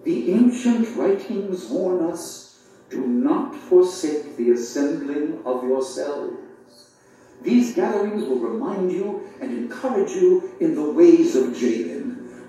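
A man's voice: fairly high pitch, lively intonation, slow, mellow, far from the mic. A man playing a solemn, kindly schoolmaster of a fantasy realm in an epic audio drama, with stately, ceremonious delivery. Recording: audio drama replayed in echoey room.

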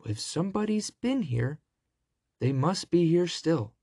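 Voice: mid-range voice, lively intonation, measured pace, warm tone, slightly rough, no echo, clean studio sound, voice pushed up. A storyteller voicing a middle-sized, proper and concerned mother bear from a children's storybook.

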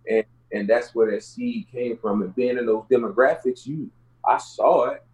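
A man's voice: medium pitch, moderate pace, darkish tone, low-quality recording. A man from Houston with a Southern US accent, telling his own story casually as an interview guest in streetwise, slangy speech. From Houston, Texas, United States.

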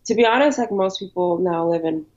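A woman in her thirties, a podcast guest who grew up in New York, talking in a relaxed way with frequent ums. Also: mid-range voice, brisk. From born in Ukraine, raised in New York. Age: 30s. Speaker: interview guest. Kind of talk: casual interview chat. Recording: dull lo-fi audio.

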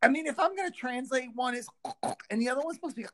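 A woman co-hosting a casual chat, playful and teasing. Her pitch rises and falls a lot as she jokes. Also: lively intonation, rapid-fire, fairly bright tone. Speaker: co-host.

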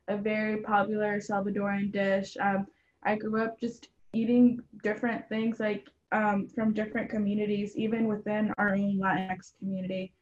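Young woman guest, earnest, lots of ums, dull lo-fi recording. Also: mid-range voice. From Mexican household in Atlanta, Georgia. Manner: earnest, frequent ums and you knows. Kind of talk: casual interview answers.

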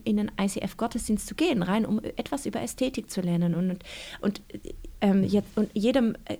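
A woman's voice: medium pitch, darkish tone. A woman, an author being interviewed, talking casually and reflectively, with many fillers and restarts.

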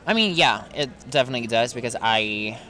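A man's voice: medium pitch, lively intonation, fairly quick, bright, forceful tone. Frank young man, guest on a casual podcast, laughs a lot, outdoors. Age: 20s. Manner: frank, laughs often, swears. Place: outdoors in a park.

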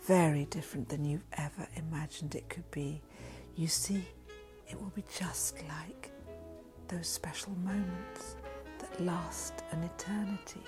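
A woman reading a sensual guided meditation in a breathy voice that often drops into a whisper. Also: lowish voice, fairly even intonation, measured pace. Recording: voice over soft background music. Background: music.

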